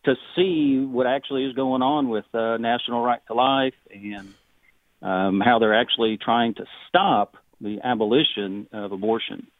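A man's voice: medium pitch, normal speed, dry recording. A man calling a radio show by telephone, speaking in a casual, chatty way. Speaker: phone-in caller. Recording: narrow-band phone line.

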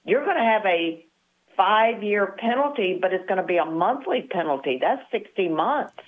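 A woman's voice: mid-range voice, expressive, normal speed. A woman in her sixties, a Medicare advisor, explaining things matter-of-factly as a guest on the show. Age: 60s. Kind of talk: phone-in interview. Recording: tinny phone line.

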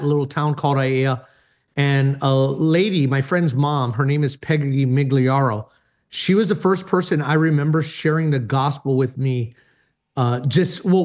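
A middle-aged man from Hawaii, a former pastor, telling his story earnestly with frequent hesitations. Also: fairly high pitch. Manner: earnest, many hesitations and fillers. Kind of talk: personal testimony in interview.

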